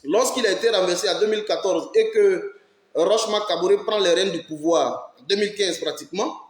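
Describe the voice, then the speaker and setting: A man delivers fiery political commentary in a fairly high voice. He hammers his points with rhetorical questions and keeps urging his audience to listen closely. The room around him rings with a noticeable echo. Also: brisk. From Ivory Coast. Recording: roomy echo.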